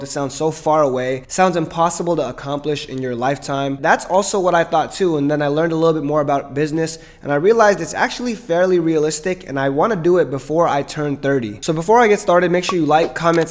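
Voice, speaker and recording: Upbeat young guy in his twenties, a bit high-voiced, punchy and persuasive, business vlog delivery. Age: 20s. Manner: energetic, emphatic, persuasive. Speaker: entrepreneur vlogger. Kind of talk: direct-to-camera business vlog.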